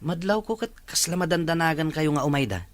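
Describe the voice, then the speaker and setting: Casting: male actor as excitable young son, Ilocano Filipino accent, youthful higher voice, sincere drama dialogue. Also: a little fast, dry recording, natural voice. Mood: curious, caring. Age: young adult. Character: earnest young son, excitable, later contrite.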